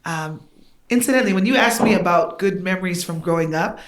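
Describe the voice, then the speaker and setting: A woman's voice: on the low side, a little fast. A middle-aged woman from Tonga whose first language is Tongan, a podcast guest earnestly and reflectively recounting her upbringing. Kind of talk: podcast interview, recounting childhood.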